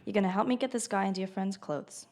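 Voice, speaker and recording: A woman's voice: medium pitch, fast, darkish tone, natural voice. An actress playing a cold, ruthless female sellsword in a fantasy audio drama, curt and matter-of-fact. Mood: curt, commanding.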